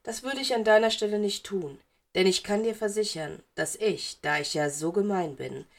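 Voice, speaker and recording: A woman's voice: medium pitch, normal speed, close to an everyday voice. A woman voicing a stern, sarcastic, impatient male wizard teacher in an audiobook, curt and sardonic. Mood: sardonic threat. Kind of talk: audiobook character voice.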